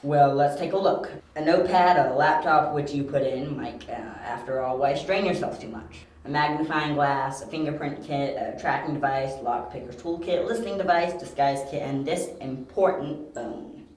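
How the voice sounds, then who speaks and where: A man's voice: on the high side, moderate pace, hardly any pauses, lightly acted. A man playing a young, confident detective in an amateur audio drama, explaining things precisely. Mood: methodical. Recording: roomy amateur home recording.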